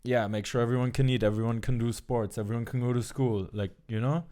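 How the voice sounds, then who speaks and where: A man's voice: mid-range voice, fairly even intonation, fast talker, dark tone. A man hosting a podcast, speaking thoughtfully in casual talk and searching for words. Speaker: podcast host. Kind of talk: casual conversation.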